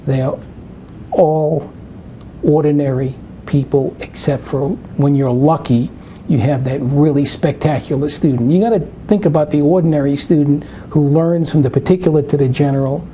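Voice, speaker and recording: Older New York man, mellow and a bit faint hiss behind; math presenter given to rambling anecdotes. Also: on the high side, moderate pace. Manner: rambling, anecdotal, self-interrupting. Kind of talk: live webinar Q&A.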